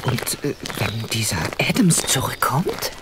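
An actress playing a sensible, doubtful companion to a sleuth in a German radio play. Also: female voice, extremely low pitch, very animated, measured pace, in a whisper, full character voice. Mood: puzzled, uneasy.

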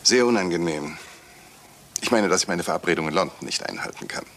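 A man's voice: low voice, animated, a little fast, vocal fry, a bit bright, full character voice. A man playing a polite, formal, evasive Italian doctor under suspicion in a German radio crime drama. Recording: slightly hissy old radio play recording.

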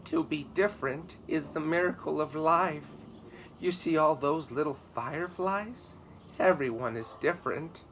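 A man voicing a loving, gentle old grandmother in a storybook, tender and emphatic. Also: a little high, a little slow, voice pushed up. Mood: tender, assuring. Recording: ambient noise behind voice.

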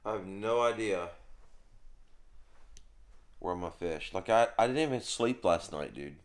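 A man's voice: fairly low pitch, lively intonation, measured pace. A middle-aged man chatting informally to camera as a vlog host. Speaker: professional angler, vlog host. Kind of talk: casual vlog chat to camera. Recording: outdoor and indoor noise, crowd bustle.

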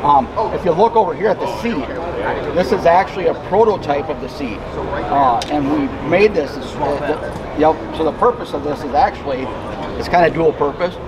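Man, slightly raised pitch, company rep, plainspoken explanatory delivery, casual demo, noisy trade show crowd. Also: moderate pace. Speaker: company representative presenting a product. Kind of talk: casual product demonstration interview. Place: busy indoor trade show floor.

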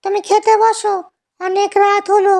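A man's voice: very high-pitched, little pitch movement, moderate pace, creaky, darkish tone. A man voicing a nagging, jumpy village stepmother in a cartoon. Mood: scornful. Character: scornful, nagging village stepmother, easily frightened. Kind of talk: Bengali cartoon dialogue.

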